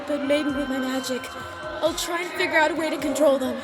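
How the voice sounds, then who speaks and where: Woman as a high-voiced anxious pony sorceress. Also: steady tone, a little fast, close to an everyday voice. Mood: worried. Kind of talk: fantasy audio drama acting. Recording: loud music and crowd clamour.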